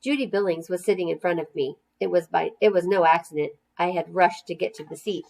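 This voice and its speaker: A woman's voice: on the low side, fairly quick, vocal fry, darkish tone, rough recording. A woman narrating a children's storybook expressively, as a storyteller reading aloud to young listeners.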